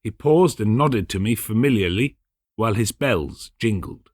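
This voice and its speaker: A man narrating a literary story as an audiobook, in a measured, precise and theatrical way. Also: fairly low pitch, animated, husky, dry recording, studio quality. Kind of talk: dramatic scripted literary narration.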